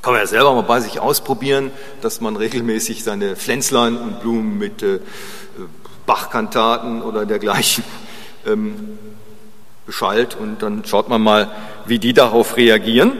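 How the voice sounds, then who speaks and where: A man preaching informally and chattily, in a halting way with pauses, repeated phrases and short tag questions. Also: fairly low pitch, steady tone, fairly bright tone. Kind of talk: informal sermon with digressions. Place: large reverberant room at a church service. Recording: long reverb of a large hall.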